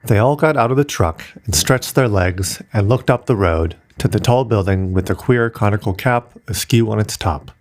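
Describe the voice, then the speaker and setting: A man narrating a short science fiction story in a steady, measured way. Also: lowish voice. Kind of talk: scripted audiobook narration.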